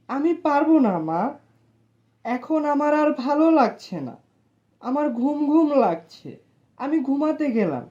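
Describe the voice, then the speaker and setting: A woman's voice: sits high, warm tone. A woman voicing a sulky, blunt young boy, a lazy brother in a children's cartoon. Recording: dull lo-fi voice track.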